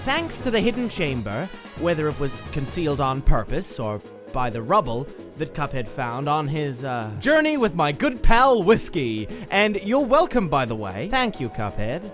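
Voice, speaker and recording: A man voicing an excitable, bookish cup-headed cartoon brother in a comic dub, lecturing emphatically. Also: a little high, normal speed, hardly any pauses. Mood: musing, wry. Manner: emphatic, rambling lecture delivery. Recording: loud music behind the voice.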